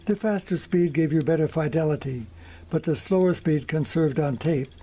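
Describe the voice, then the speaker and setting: Older man, mellow and measured. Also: on the high side, slight background noise. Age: older, around 70s. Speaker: presenter of vintage electronics. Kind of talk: scripted product demonstration narration. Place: small indoor electronics workshop.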